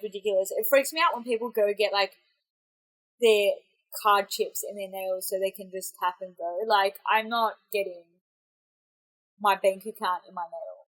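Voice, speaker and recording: A young Australian woman talks through a trailer reaction in a loose, rambling way. She often corrects herself mid-sentence. Her tone is bright and forward, with a stuffy, congested edge from a cold. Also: medium pitch, rough recording. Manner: chatty and rambling, self-correcting. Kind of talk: casual reaction commentary.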